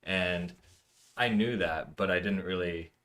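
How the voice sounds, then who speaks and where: A man's voice: deep voice, slow pace, a bit bright. A man hosting an interview, setting up questions in an earnest, explanatory way. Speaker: channel host, interviewer.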